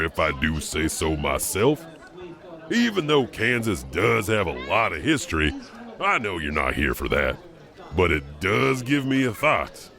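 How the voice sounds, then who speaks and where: A man's voice: fairly low pitch, bright, forceful tone, heavily acted. A man playing a folksy janitor storyteller, rambling and mumbling through mouthfuls of food as he hosts an audio drama anthology. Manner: chewing and mumbling between phrases, rambling. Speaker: host narrator of a horror anthology. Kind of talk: in-character scripted hosting.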